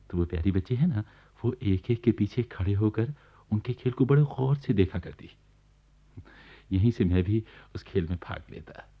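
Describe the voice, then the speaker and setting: A genial, wry man narrates a radio play from his sickbed. His voice is fairly low and he chats confidingly to the listener. The old recording is dull. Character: genial bedridden government officer recounting his neighbours' life.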